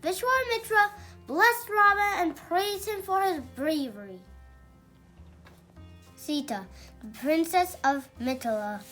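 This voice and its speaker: Very high child's voice, storybook read-aloud, bright and emphatic, unhurried, careful delivery. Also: soft background music. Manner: careful, emphatic reading with occasional stumbles. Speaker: young storyteller reading aloud.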